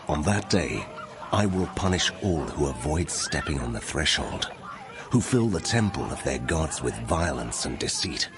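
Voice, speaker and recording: An actor voicing a wrathful God pronouncing judgment in solemn, commanding declamation for a dramatized scripture reading. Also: male voice, a bass voice, big pitch swings, a little slow, full character voice.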